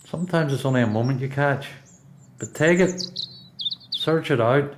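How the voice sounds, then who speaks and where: A man's voice: mid-range voice, moderate pace, background noise, lightly acted. A man with a Belfast accent playing a seasoned prisoner in a radio play, a blunt mentor who talks in terse, instructive lines. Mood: encouraging. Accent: Belfast Northern Irish.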